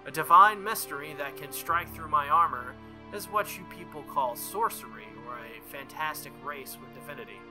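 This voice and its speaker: A man voicing a formal, proud young woman knight with a calm, measured manner in a visual novel. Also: fairly high pitch, over music, close to an everyday voice, low-quality recording. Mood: solemn.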